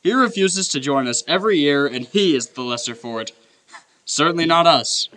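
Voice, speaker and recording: An actor playing a good-humoured, forgiving nephew in a radio play. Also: male voice, on the high side, lively intonation, moderate pace, sharp tone, low-quality recording. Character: jovial, forgiving nephew who laughs easily. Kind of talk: live radio play acting.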